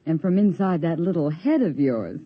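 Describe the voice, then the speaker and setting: A woman's voice: lowish voice, expressive, normal speed, breathy edge. Suburban mother, swooping intonation, soothing, lightly teasing, 1950s radio acting, dull vintage recording. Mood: amused, indulgent. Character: indulgent, lightly amused suburban housewife and mother.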